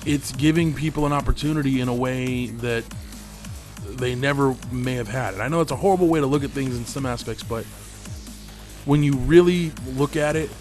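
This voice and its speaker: A man's voice: medium pitch, darkish tone. A man hosting his gaming channel, chatting casually over gameplay. He is tired and losing his voice, and he rambles in a laid-back way and swears freely.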